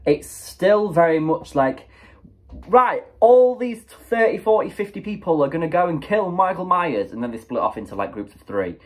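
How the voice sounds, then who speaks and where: A young man with a cold, casually reviewing a film, chatty and animated, full of fillers and acted-out reactions. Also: high voice, moderate pace. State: sniffly with a cold. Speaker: movie reviewer.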